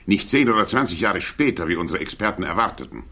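An actor playing a sceptical hearing board member who puts formal, challenging questions, in 1960s German radio drama style. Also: male voice, low voice, lively intonation, fast talker, pushed and bright, full character voice.

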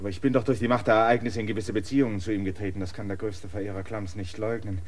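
A man's voice: lowish voice, steady tone, racing, darkish tone, lightly acted. A man playing a defiant, insistent land surveyor.

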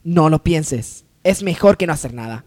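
A man's voice: on the high side, expressive, normal speed, darkish tone. A man playing a jealous, bossy rich woman in a parody radio soap, melodramatic and haughty. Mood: brisk, scheming.

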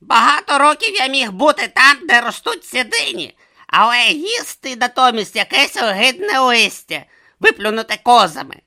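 A man's voice: very high-pitched, big pitch swings, moderate pace, sharp tone, voice pushed up, heavily acted. A man voicing a bossy, grumpy male god trapped as a tortoise, barking impatient orders.

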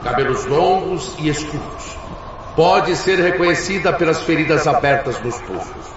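A commanding male rescue leader calling names in an authoritative, announcing tone with a high voice, as an actor plays him. He sounds far from the mic with a long echo. Noise runs under the lo-fi sound. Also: animated, normal speed. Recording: echoey distant lo-fi voice in a hall with noise.

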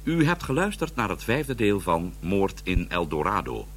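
A very deep male announcer reads scripted broadcast credits with lively intonation. His accent is Dutch, and a faint hum sits under the voice. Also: moderate pace. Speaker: announcer reading closing credits.

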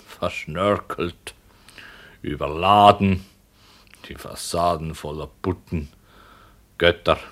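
Slow, deep-voiced wry writer. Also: male voice, a bit bright, heavily acted. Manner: deliberate, wry, halting descriptive phrases. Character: ironic, detached writer slowly conjuring his story's scenery. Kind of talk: 1960s German radio play narration. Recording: noisy old radio recording, low rumble.

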